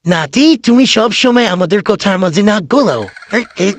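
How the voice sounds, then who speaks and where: Old cartoon sweetheart, male performer, wheedling and placating, with animated, swooping intonation, amateur comedy style. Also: high voice, unhurried, a little hiss or hum. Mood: scolding. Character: fawning, pleading old man, a besotted caricature lover. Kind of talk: amateur comic cartoon voice acting.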